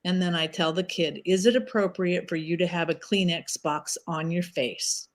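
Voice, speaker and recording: A woman's voice: lowish voice, normal speed. A woman in her fifties telling stories in a casual livestream chat, laughing often. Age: 50s. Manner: animated storyteller, laughs often.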